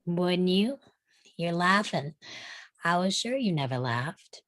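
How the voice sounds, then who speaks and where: Woman acting flirty free-spirited western lady, lowish voice, teasing and confident, really dry sound. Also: lively intonation, slow, fairly bright tone, no echo. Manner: teasing, self-assured. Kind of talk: live table read acting of a western screenplay.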